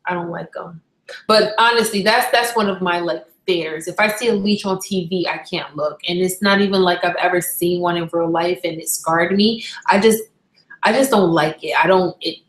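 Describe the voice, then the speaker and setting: Echoey, low-pitched young woman chatting casually. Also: normal speed. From Barbados. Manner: candid, rambling, self-correcting. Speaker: live stream host. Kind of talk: casual live stream chat. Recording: echoey room.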